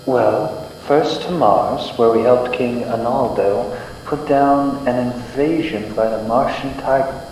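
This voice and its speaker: A young man playing an earnest, polite heroic space adventurer in an old-time radio serial, speaking deliberately. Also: medium pitch, a little mellow, lightly acted. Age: young adult. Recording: very echoey hall, distant, faint hum.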